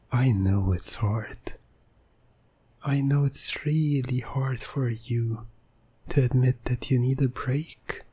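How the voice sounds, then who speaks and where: Guy acting out a smooth talking hypnotist for asmr, mellow breathy voice, slow and coaxing. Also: medium pitch. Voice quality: soft, breathy. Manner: soothing, persuasive, deliberate, often dropping to a whisper. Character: smooth-talking, coaxing male hypnotist playing innocent motorist. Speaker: ASMR roleplay performer.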